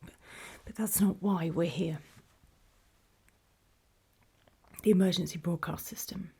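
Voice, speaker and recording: A woman playing a nervous, apologetic apocalypse survivor in a horror ASMR roleplay, in a soft, breathy whisper with a halting, self-conscious delivery. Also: on the low side. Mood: grave, hesitant. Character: nervous, apologetic female zombie-apocalypse survivor recording a warning.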